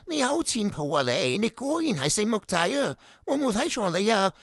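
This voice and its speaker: A woman's voice: medium pitch, very animated, moderate pace, breathy edge, no echo, studio quality. A woman playing a dramatic, demanding boss with a pompous, theatrical manner in a comedic radio drama. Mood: delighted. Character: dramatic, demanding boss who talks in abstract riddles.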